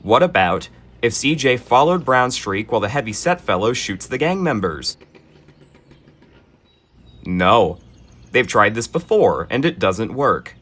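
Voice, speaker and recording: A man narrating in a gentle, expressive children's storybook style, with dry asides. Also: medium pitch, unhurried, pauses often.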